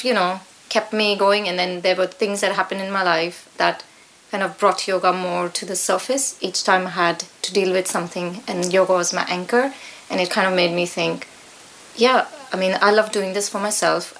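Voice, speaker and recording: A woman's voice: fairly low pitch, fairly bright tone, low-quality recording. A middle-aged woman with an Indian accent, talking conversationally as an interview guest. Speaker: guest, yoga teacher.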